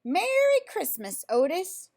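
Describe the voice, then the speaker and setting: A woman's voice: sits high, sing-song, unhurried, creaky, darkish tone, full character voice. Woman reading as a kindly, emphatic storybook farmer.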